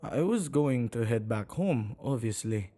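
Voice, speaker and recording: Man acting a slow-talking, hesitant male witch. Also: mid-range voice, expressive, mellow. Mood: nervous. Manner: hesitant, halting, with sighs and murmured asides. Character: flustered, self-neglecting male witch who tends the dead. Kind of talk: ASMR audio roleplay, speaking intimately to the listener.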